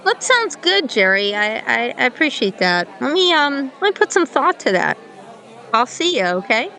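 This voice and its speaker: A woman plays a frustrated, thoughtful female director in a radio play. Her voice sits fairly high and her delivery is conversational and a little hesitant. Faint crowd chatter runs behind her. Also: sing-song, moderate pace, bright, forceful tone, heavily acted, poor audio.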